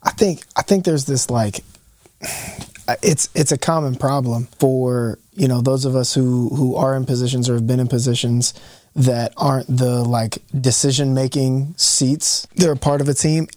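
A man's voice: mid-range voice, steady tone, a little slow, a little mellow, dry recording. A man in his thirties co-hosting a podcast, reflecting carefully and searching for words as he talks. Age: 30s. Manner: thoughtful, searching for words, with restarts. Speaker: podcast co-host. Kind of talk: casual reflective podcast chat.

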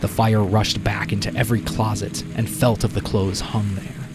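A man narrating a scripted short story as audio drama, with deliberate, dramatic phrasing. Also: fairly low pitch, flat delivery. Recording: prominent music behind the narration.

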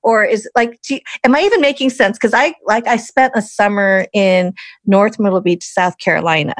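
A woman's voice: mid-range voice, brisk, no echo, clean studio sound. A woman hosting a casual interview, chatting in an effusive, giggly way. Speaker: podcast host.

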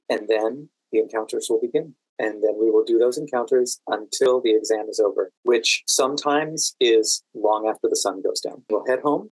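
Man, medium pitch, podcast guest, casual thorough explanations, dry recording. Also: dry recording, poor audio. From Washington DC, United States. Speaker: podcast guest, working standardized patient.

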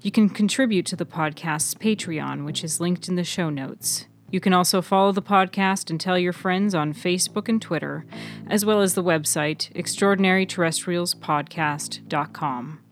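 A woman's voice: on the low side, normal speed, soft background music. A woman reading scripted narration as the narrator of a fiction podcast.

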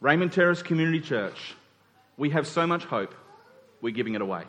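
Man, slightly high-pitched voice, earnest preaching, emphatic repetition, deliberate pauses, echoing hall. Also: animated, fairly bright tone. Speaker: pastor preaching to his congregation. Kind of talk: sermon. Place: church hall during a service. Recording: reverberant hall sound.

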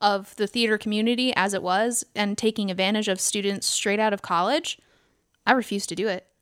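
A woman's voice: medium pitch, steady tone, a little fast, clean tone. A woman in a casual podcast chat, frank and emphatic, repeating points to drive them home. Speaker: theatre company podcast speaker, stage manager.

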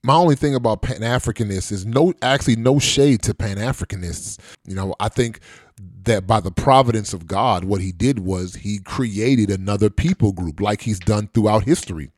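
A middle-aged man hosts a casual podcast, his voice sitting low and sounding dry and close. He rambles easily, full of fillers and restarts. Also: moderate pace, a little mellow, no room sound. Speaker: podcast host.

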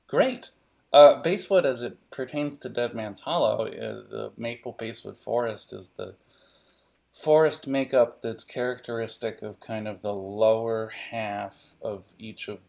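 A low-voiced man with noticeable vocal fry hosts a tabletop game. He talks in a casual, rambling way, painting detailed pictures for listeners with a geeky bent. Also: darkish tone. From California. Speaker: game master and narrator of an RPG podcast.

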